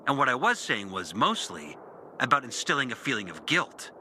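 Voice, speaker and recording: An actor playing a bitter, disillusioned former priest in a video game, speaking a brooding, deliberate confessional monologue with dramatic pauses. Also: male voice, medium pitch, very animated, pushed and bright, a little hiss or hum. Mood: scornful, fervent. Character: disillusioned former priest, bitter and intense, recounting his lost faith. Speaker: character narrating an audio journal.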